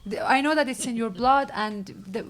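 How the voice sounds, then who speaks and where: A woman's voice: on the high side, moderate pace, slight background noise. Woman with a Mashhadi Persian accent. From Iran. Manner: engaged, asks long questions. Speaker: panel moderator. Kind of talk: panel discussion.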